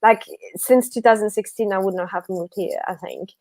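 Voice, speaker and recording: Belgian-born woman chatting on a livestream, tired, keeps restarting sentences, mid-pitched voice. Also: moderate pace, a little mellow. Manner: rambling, self-correcting, laughs often. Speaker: guest author. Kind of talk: casual livestream chat.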